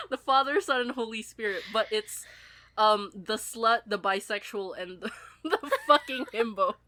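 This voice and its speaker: A woman's voice: on the high side, expressive, measured pace, sharp tone, no room sound. A woman co-hosting a podcast in casual joking banter, wry, giggly and slangy. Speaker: podcast co-host.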